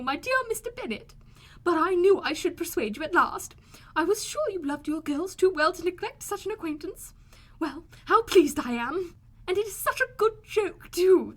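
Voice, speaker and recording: A woman voicing an excitable, fretful middle-aged mother in an audiobook, exclaiming and gushing, easily offended. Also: extremely high pitch, normal speed, voice pushed up. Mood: delighted, gushing. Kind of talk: audiobook character voice.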